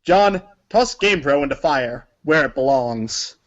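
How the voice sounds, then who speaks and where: A man's voice: a little high, expressive, unhurried, dull audio. A man narrating a story aloud in a theatrical, dramatic and emphatic manner. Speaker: narrator of a group reading.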